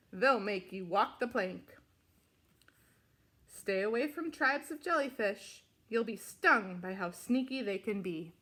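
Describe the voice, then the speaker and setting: A woman reading a children's picture book aloud in an expressive, deliberate way with dramatic emphasis. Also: on the high side. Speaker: storybook reader.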